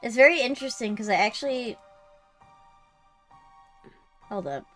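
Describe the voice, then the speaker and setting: A woman's voice: on the high side, lively intonation, a little slow. Casual woman streamer with music behind. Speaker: streamer hosting a live game readthrough. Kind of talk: casual livestream chat.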